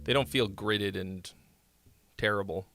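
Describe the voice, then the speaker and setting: A man's voice: on the low side, a little slow. A man with a nasal voice hosting a live song critique stream, rambling casually with lots of fillers and restarts. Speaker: music critique livestream host. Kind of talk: casual livestream song feedback.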